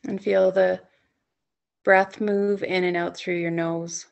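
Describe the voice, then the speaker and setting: A woman with a heavily creaky, fairly low voice leads a yoga nidra for sleep. She speaks in soothing, gently coaxing phrases and leaves long pauses between her instructions. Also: flat delivery, muffled recording. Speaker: yoga nidra instructor leading a guided meditation. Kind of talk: guided sleep meditation, softly spoken instruction.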